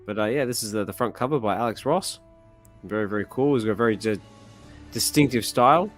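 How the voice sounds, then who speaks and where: A man with a slightly hoarse voice hosting a comic reading stream, chatting casually and rambling with lots of fillers. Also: on the low side, fast, soft background music. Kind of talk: casual chat.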